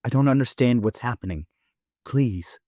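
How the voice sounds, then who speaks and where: Man playing a desperate, confused young man, expressive. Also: mid-range voice, no echo, clean studio sound, pitched below the performer's own voice, lightly acted. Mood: confused, pleading. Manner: halting, pleading.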